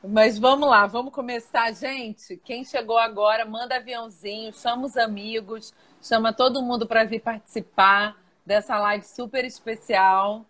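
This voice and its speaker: A woman's voice: on the high side, fairly even intonation, normal speed, hardly any pauses, a bit bright. A woman hosting a live online interview, greeting viewers and prompting her guests. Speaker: host.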